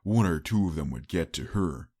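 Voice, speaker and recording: Casting: male audiobook narrator, low register, dry studio-like sound, dramatic scripted storytelling. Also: animated, normal speed, a little mellow, breathy edge, dry recording.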